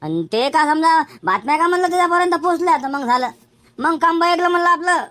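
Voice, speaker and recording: Comic village adviser, male character acted, high voice, coaxing and reasoning, Varhadi rural accent. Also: animated, fast, fairly bright tone. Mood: planning. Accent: rural Maharashtra, Varhadi Marathi. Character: scheming, advising village man in a comic cartoon. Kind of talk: comic cartoon dialogue.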